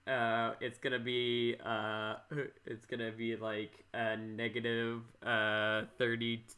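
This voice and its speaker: An American man hosts a casual interview podcast in a bright, sharp voice. He talks in a rambling, halting way, often doubling back and repeating his words. The tone is that of informal chat. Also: mid-range voice, monotone. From Minnesota, US. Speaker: podcast host.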